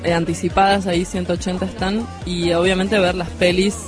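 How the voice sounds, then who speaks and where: A woman's voice: fairly low pitch, little pitch movement, a little fast. A woman with a Rioplatense accent co-hosting a casual radio chat. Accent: Rioplatense Spanish. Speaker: radio show co-host. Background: music.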